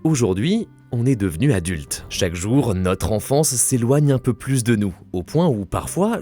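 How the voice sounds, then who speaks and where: A man's voice: medium pitch, darkish tone. A man in his twenties narrating a scripted video essay with earnest, dramatic delivery. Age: 20s. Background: faint music.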